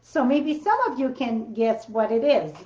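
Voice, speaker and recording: A woman's voice: on the high side, measured pace, warm tone. A woman with a French accent hosting a casual card-making livestream from her home craft room, chatty and rambling, with loose grammar. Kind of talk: casual live demo chat. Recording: roomy, slightly dull sound.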